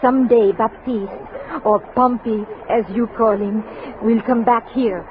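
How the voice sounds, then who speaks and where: Casting: actress as soft-mannered woman trail guide, formal simple speech, Western radio drama, lo-fi recording with faint noise. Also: on the high side, fairly even intonation, natural voice. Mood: tender, proud. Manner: formal, simple, deliberate phrasing. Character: gentle young Native woman guide, a mother. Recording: thin old radio recording, faint hiss.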